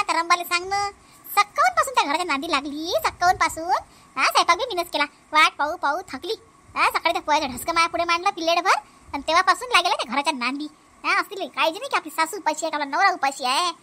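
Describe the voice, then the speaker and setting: A woman's voice: extremely high pitch, sharp tone, full character voice. A woman voicing a quarrelsome, suspicious older mother-in-law in a comic cartoon, scolding and repeating complaints in a Vidarbha Marathi accent. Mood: grumbling. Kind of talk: exaggerated comic cartoon dialogue.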